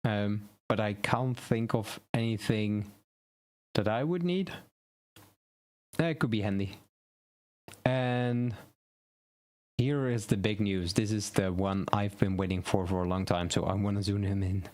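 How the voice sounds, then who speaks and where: A man's voice: fairly low pitch, measured pace, pauses often, darkish tone. A man whose first language is Dutch, hosting a casual live stream on web design news, rambling and correcting himself as he thinks aloud.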